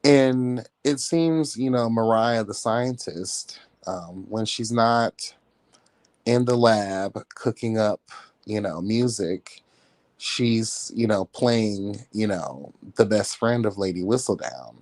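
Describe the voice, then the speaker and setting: A man's voice: on the low side, slow pace, a little mellow, dry recording. A man giving casual celebrity gossip commentary as a livestream host, with a sassy, catty, blunt and slangy way of talking.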